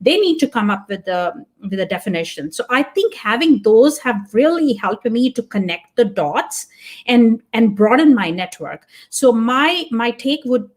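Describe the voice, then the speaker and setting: A woman's voice: a little high, very animated, a little slow. A woman whose first language is Punjabi, speaking as a podcast guest in an earnest, reflective way, thinking aloud. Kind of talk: casual interview talk.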